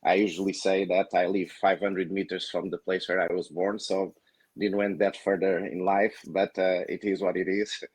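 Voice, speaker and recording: A man's voice: sits low, little pitch movement, normal speed, a little mellow, husky, dry recording, poor audio. A man from Portugal with a Portuguese accent, a company founder introducing himself hesitantly on a webinar panel. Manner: hesitant, many fillers.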